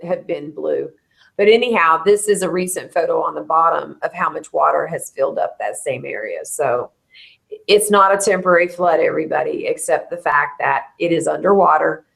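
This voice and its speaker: Woman host, hoarse and worn, chatty, slightly low-pitched. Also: fairly bright tone. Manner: chatty, quick to laugh. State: hoarse, losing her voice. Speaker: host of a bluebonnet bloom update. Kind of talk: casual live chat with a co-host.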